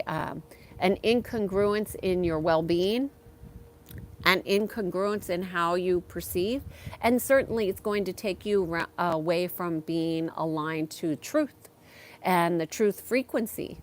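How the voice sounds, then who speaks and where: A woman hosting a live stream from her backyard, talking slowly and softly, pausing with ums as she reads and answers chat comments. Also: lowish voice, a little hiss or hum. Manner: slow, soft-spoken, with frequent ums.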